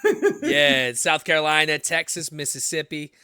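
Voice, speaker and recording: A man from the US Northeast hosting a podcast interview, talking fast, loud and direct in a casual way. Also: a little high, crowd noise. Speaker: podcast host.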